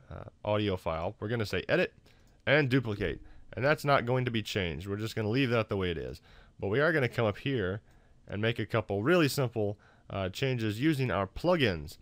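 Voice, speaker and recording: A man hosting a casual software tutorial in an informal, self-deprecating, hesitant way. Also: on the low side, dry recording. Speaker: tutorial host.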